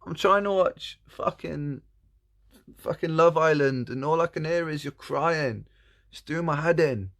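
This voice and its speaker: A man's voice: on the high side, measured pace, a bit bright, pitched above the performer's own voice. A man voicing a tiny, slimy male frog who is grumpy, cheeky and bluntly slangy. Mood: irritated.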